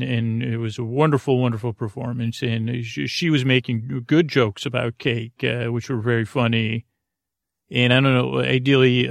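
A man's voice: mid-range voice, gravelly, dry recording, studio quality. A man hosting a podcast in a rambling, casual monologue, hesitant, often trailing off and doubling back. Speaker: podcast host.

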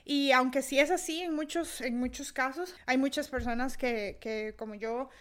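Young Costa Rican woman, podcast host, fairly high voice, reflective and self-deprecating, lots of "eh" and restarts. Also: normal speed, fairly bright tone. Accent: Costa Rican Spanish. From Costa Rica. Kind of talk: casual solo monologue.

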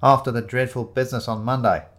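A man voicing an eager amateur detective in an audio storybook, theatrical and probing, with deliberate, pointed phrases. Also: medium pitch, steady tone, fairly bright tone, lightly acted. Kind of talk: audio storybook character dialogue.

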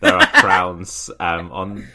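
A man co-hosting a podcast, speaking haltingly and stumbling over words in amused casual chat. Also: low voice, flat delivery, a loud crowd. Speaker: podcast co-host.

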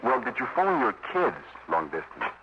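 An actor playing a homesick young soldier in a 1950s radio drama, halting and earnest. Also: male voice, medium pitch, sing-song. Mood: wistful, hesitant. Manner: halting, trailing off. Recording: muffled, noisy 1950s radio broadcast.

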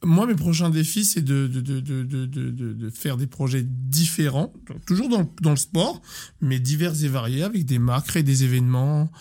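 A man in his thirties, a sports coach, talking casually in an interview, animated and often repeating and restarting words. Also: medium pitch, moderate pace. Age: 30s.

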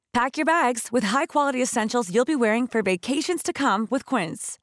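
Female commercial announcer, slightly high and animated, scripted upbeat read, close dry sound. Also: dry recording. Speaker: advertisement announcer.

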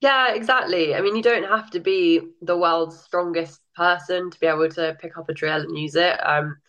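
A woman's voice: fairly low pitch, moderate pace, fairly bright tone, highs cut off. A woman guest on a podcast, a bit nasal from a cold, talking enthusiastically and laughing often. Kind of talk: casual interview chat.

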